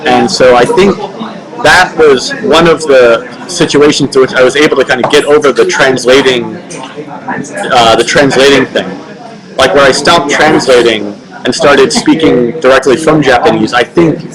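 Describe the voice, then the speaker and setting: Rambling young man over loud crowd noise. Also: medium pitch, low-quality recording. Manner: loose and rambling, many filler words and restarts. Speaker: livestream host answering viewer questions. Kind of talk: casual chat. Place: home webcam livestream.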